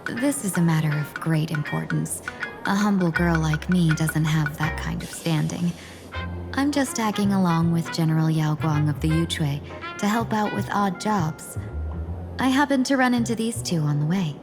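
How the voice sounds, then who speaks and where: An actress playing a modest young envoy's aide in a video game, polite and formal. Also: female voice, fairly low pitch, animated, normal speed, over music. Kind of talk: video game character acting.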